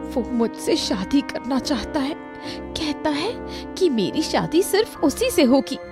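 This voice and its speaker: A woman plays a young woman in a cartoon story, frightened yet defiant. Her voice is pleading and indignant, rising and swooping in pitch. Music plays behind her. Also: sing-song, unhurried, heavily acted. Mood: tearful, distressed. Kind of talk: dramatized Hindi cartoon story.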